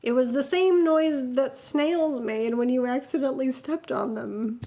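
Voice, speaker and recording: A woman with a high voice co-hosts a fanfiction comedy show. She reads stories aloud with some drama and chats casually with her fellow hosts. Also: unhurried, dark tone. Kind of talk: dramatic reading of fanfiction mixed with casual banter.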